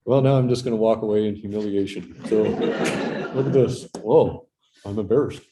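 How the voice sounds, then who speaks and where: A man's voice: fairly low pitch, fairly even intonation, moderate pace, noisy background. Jokey male event host. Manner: jokey, self-deprecating. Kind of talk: introducing a speaker.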